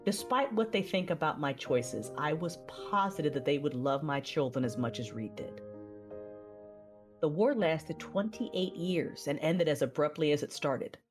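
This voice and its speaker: Sci-fi audio drama lead: actress as reflective, war-weary Jedi woman, low register, measured confessional narration over music. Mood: reflective, wary. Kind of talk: scripted first-person audio drama narration. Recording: music under the voice.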